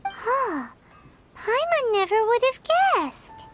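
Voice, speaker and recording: A woman's voice: extremely high pitch, huge pitch swings, slow pace, full character voice. A woman voicing a tiny, chirpy fairy girl companion who talks about herself in the third person, in a video game. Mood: curious. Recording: game music behind.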